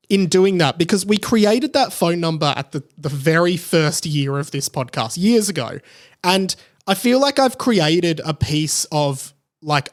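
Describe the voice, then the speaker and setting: An Australian man hosting a solo podcast in a casual, rambling way, often interrupting himself and drifting into tangents. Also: fairly high pitch. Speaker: podcast host.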